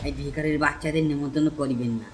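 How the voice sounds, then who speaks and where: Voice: medium pitch, fairly even intonation, fast talker, slight background noise, lightly acted. A performer voicing an insolent, greedy male village servant in a cartoon folk tale, brash and sneering. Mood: contemptuous.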